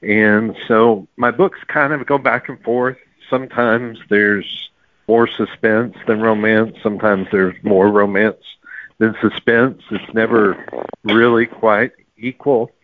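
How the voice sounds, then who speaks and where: A man's voice: on the low side, fairly even intonation, takes it slowly, dry recording. A man answering interview questions casually as a novelist, heard over a tinny, narrow line.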